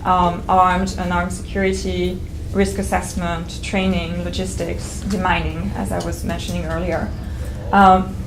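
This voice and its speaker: A woman presenting research findings on a panel, steady and factual with frequent uhs. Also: lowish voice, unhurried. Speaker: study author, panelist. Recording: echoey noisy live recording.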